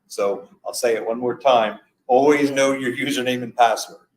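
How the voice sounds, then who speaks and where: A man with a mid-pitched voice gives a webinar presentation as a product expert. He explains practical steps in a conversational, instructional way. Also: steady tone, normal speed, rough recording.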